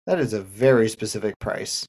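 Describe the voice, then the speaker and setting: A man playing a reluctant, easily flustered host of a small-town community radio arts show, hesitant and deadpan in an audio comedy. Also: on the low side, brisk, creaky. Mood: announcing.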